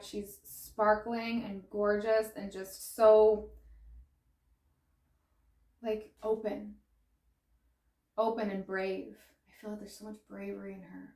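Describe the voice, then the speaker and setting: A woman giving a tarot card reading in an excitable, gushing way. She says she is losing her voice. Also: mid-range voice, roomy sound. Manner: excitable and gushing, exclaiming and laughing. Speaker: tarot reader. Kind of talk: pick-a-pile tarot reading.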